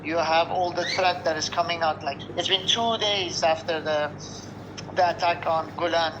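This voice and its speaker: A Lebanese man with a fairly high voice answers in earnest, weary, halting phrases. His line sounds dull and lo-fi. A steady noise hums underneath. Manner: earnest, weary, speaks in short restarting phrases. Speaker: restaurant owner interviewed. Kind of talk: remote news interview.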